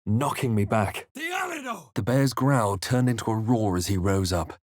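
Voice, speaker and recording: A man voicing a composed, observant, brooding adventurer in a fantasy video game, with measured, theatrical delivery. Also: lowish voice, big pitch swings, no echo, studio quality. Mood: forceful incantation. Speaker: video game player-character voice. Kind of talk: dramatic first-person narration and character barks.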